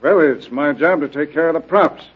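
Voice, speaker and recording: Helpful, highish-voiced stagehand. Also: male voice, normal speed, husky. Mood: explanatory. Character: helpful, plain-spoken theater property man. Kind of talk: radio detective drama acting. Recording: dull, lo-fi radio drama recording.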